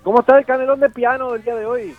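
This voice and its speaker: A man's voice: very high voice, steady tone, very fast, narrow, tinny audio. A man voicing a crude, boastful Mexican character with a put-on Mexican accent who ends his lines with swear words. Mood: brash. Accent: put-on Mexican Spanish. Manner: ends lines with "cabrón", brash and mocking. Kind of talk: comedy bit in a radio show.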